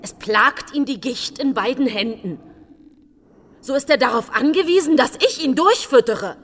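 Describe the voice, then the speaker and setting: A woman's voice: high-pitched, lively intonation, normal speed, slight background noise. An actress playing a scheming, self-justifying woman in a radio drama inner monologue. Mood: resentful. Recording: long reverb, music underneath.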